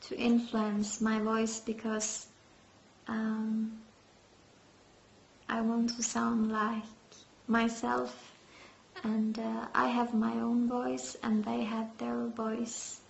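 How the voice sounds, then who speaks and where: Female metal vocalist, halting and filler-heavy, flat slow speech, raised pitch, echoey lo-fi room. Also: gravelly, a little hiss or hum. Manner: hesitant, many fillers. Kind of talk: interview answers. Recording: roomy, dull lo-fi sound.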